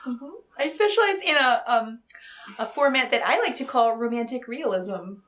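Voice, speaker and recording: Woman doing a flustered romance writer lady, high voice, rambly and earnest, comedy talk show bit. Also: animated, fairly bright tone. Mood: nervous, earnest. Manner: flustered, halting, chatty, trailing off. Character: nervous, rambling, earnest female romance novelist who loves cats. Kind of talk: improvised comedy interview.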